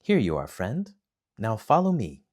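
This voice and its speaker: A man's voice: fairly low pitch, wildly swooping pitch, measured pace, a little mellow. A man voicing a brave young hero boy in a storybook, answering plainly and firmly. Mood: steady. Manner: plain, firm replies.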